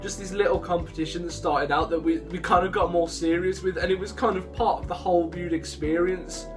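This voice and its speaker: A man's voice: high voice, steady tone, a little fast. A young man chatting casually to viewers as a vlogger, rambling and interrupting himself. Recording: music behind the voice.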